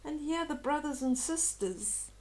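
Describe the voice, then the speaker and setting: An older woman reading a children's story aloud slowly and expressively, with gentle chatty asides to young listeners. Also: sits high, animated, low-quality recording.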